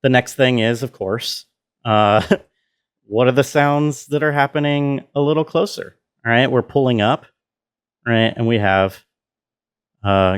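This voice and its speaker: A man's voice: medium pitch, smooth. A man giving an informal walkthrough as a sound designer, thinking aloud as he explains. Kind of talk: casual tutorial walkthrough.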